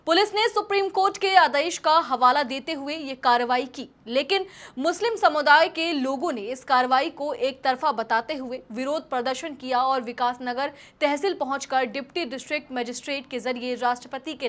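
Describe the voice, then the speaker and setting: High-pitched woman presenting news commentary. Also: a bit bright. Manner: emphatic, rhetorical questioning delivery.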